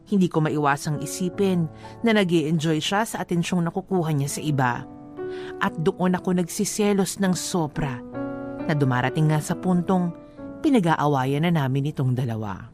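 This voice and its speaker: A woman's voice: deep voice, animated, normal speed. A Filipino-accented woman playing a jealous young girlfriend who narrates her troubled romance confidingly in a radio drama. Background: background music.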